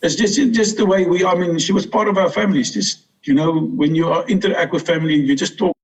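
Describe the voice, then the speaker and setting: Husky afrikaans guy, middle-aged, stumbles and restarts a lot. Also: sits high, poor audio. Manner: halting, many restarts. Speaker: guest, older brother.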